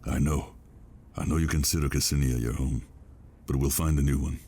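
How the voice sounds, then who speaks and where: A man's voice: a bass voice, steady tone, normal speed, dark tone, slightly rough. An actor playing a weary, protective father in a drama, firm and reassuring, with a grave delivery. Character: weary protective father fleeing with his child. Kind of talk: dramatic film-style acting. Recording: music behind the voice.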